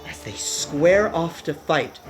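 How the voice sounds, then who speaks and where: A woman giving dramatic, deliberate scripted narration for a radio play. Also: low-pitched, lively intonation, music in the background.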